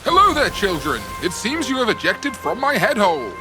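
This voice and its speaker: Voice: medium pitch, very animated, moderate pace, lightly acted. An actor voicing a giant, easygoing whale monster in a comedic cartoon parody, chatty and folksy with teasing asides. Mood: breezy, teasing. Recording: music behind the voice.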